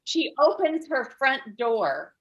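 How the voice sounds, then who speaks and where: A middle-aged woman telling stand-up stories in a conversational way, with dramatic pauses. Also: sits high, sing-song, dull audio. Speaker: stand-up comedian. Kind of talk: stand-up comedy storytelling. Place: online video event.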